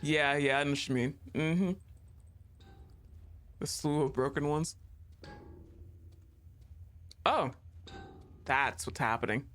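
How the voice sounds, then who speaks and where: A woman's voice: sits low, a little slow, fairly bright tone, a little hiss or hum. A woman streamer giving casual, teasing commentary as she plays, chatting to the game and laughing often.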